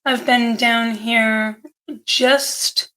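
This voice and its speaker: Bright, higher-pitched middle-aged woman. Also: level tone, drawn-out words, poor audio. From New Hampshire, United States. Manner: teasing, plain-spoken. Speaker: podcast co-host. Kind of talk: casual conversation.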